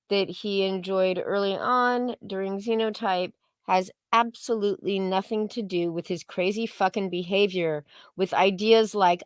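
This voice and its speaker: A woman's voice: mid-range voice, clean tone, no echo. Blunt, sarcastic woman on a dry-sounding livestream. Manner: blunt, sarcastic, frequent swearing. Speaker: co-host of a livestream. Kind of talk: casual live commentary.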